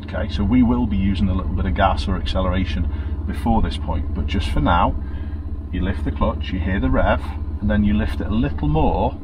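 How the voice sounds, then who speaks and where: A middle-aged man, calmly coaching a learner through the pedals inside a car, often checking she understands. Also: lowish voice, brisk. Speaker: driving instructor. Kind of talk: patient step-by-step instruction. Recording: noisy car interior.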